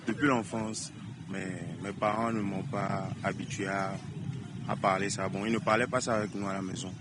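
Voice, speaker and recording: A man answering a street interview, hesitant and halting in his replies. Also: fairly low pitch, level tone. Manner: hesitant, with restarts. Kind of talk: vox pop answer. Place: street outdoors. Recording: noisy outdoor street recording.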